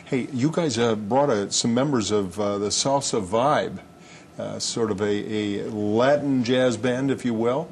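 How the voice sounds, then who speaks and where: A man hosting a casual city-TV interview, talking easily with frequent pauses and restarts. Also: medium pitch, a little hiss or hum. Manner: relaxed, many uhs and restarts. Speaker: host.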